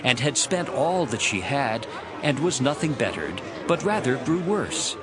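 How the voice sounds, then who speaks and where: A man's voice: mid-range voice, very animated, unhurried, a crowd in the background. A man narrating scripture as a dramatized story, in a dramatic storytelling manner. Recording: loud music behind the voice. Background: music.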